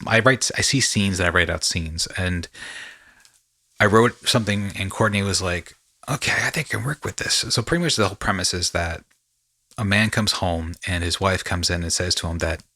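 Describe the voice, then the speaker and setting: A man's voice: deep voice, moderate pace, pushed and bright, no echo, clean studio sound. A man hosting a casual podcast conversation, talking with rambling enthusiasm and often repeating his phrases. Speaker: podcast host and actor.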